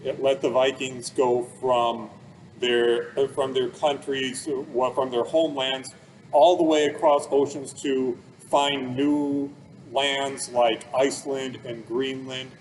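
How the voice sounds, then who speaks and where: A man with a medium-pitched voice presents like a museum expert talking to children. His wording is casual and plain, with everyday comparisons. There is some noise behind him. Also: flat delivery, takes it slowly, poor audio. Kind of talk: informal explanatory talk for children.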